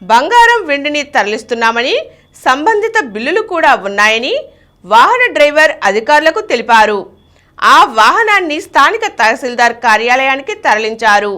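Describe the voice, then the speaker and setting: A woman's voice: sits high, big pitch swings, normal speed, bright, forceful tone. A woman reading a scripted news bulletin. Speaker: news reader.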